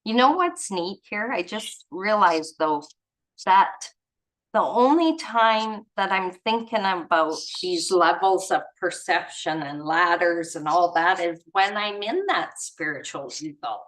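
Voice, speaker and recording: A woman's voice: fairly low pitch, animated, measured pace, fairly bright tone, rough recording. A woman leading an online study gathering, reading aloud and adding casual, rambling comments as she searches for words. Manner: informal, chuckly, trails off and searches for words. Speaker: reader and leader of a spiritual study gathering. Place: online group video call.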